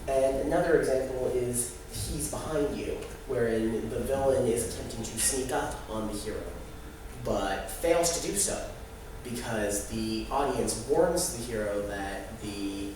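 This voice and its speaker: Young guy explaining stuff in a student talk, stops and restarts, boomy echo, clear background noise. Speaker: theatre student presenter. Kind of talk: live class presentation. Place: echoey indoor room. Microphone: distant microphone. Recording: very echoey room, distant mic, noisy.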